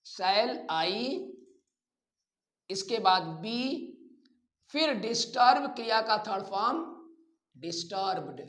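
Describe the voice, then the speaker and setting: Male Indian grammar teacher, unhurried and deliberate, instructing students step by step, roomy echo on the recording. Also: high-pitched, expressive, a bit bright. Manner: deliberate, repeats phrases, addresses students directly. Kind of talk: instructional lesson, explaining step by step. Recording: echoey room.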